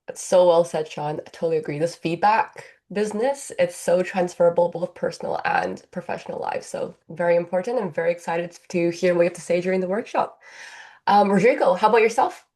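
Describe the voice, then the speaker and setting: A woman with a slightly low voice hosts a webinar. She is encouraging and affirming, moving between prepared segues and conversational remarks. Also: fairly quick, a little mellow. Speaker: webinar host. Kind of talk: semi-scripted webinar hosting.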